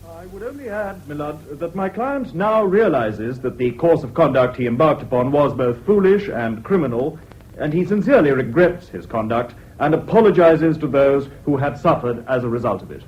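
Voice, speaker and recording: An actor playing an earnest, deferential British defence barrister in a radio drama, courtly, formal and a little hesitant. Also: male voice, on the high side, animated, normal speed, a little mellow, natural voice. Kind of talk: BBC radio drama acting. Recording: dull lo-fi old radio recording.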